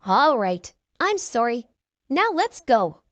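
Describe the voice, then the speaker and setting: A woman's voice: on the high side, very animated, takes it slowly, fairly bright tone, dry recording, heavily acted. A woman voicing a cheeky, confident young boy superhero who leads his team in a storybook, breezy and insistent.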